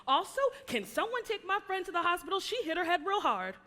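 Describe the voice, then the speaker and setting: A blunt, protective best friend in a stage comedy. The voice is very high and bright, with emphatic delivery that rings around a noisy theater hall. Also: female voice, big pitch swings, brisk, full character voice. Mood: indignant. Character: protective, insistent best friend, a young woman, loud and blunt. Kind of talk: live comedic stage radio play. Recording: big theater hall, long reverb, noise.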